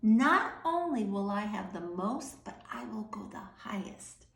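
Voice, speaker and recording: A woman's voice: medium pitch, sing-song, a little slow, creaky, a bit bright, full character voice. Lady doing a show-off little wooden villager for a bedtime story, emphatic, self-important, echoey. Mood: boastful. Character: vain, boastful little wooden villagers showing off.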